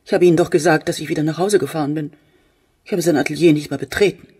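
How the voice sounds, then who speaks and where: An actress playing a middle-aged, cold-hearted, bitter mother in a radio play, with a cutting, scornful manner and a husky low voice. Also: steady tone, fast talker, mellow.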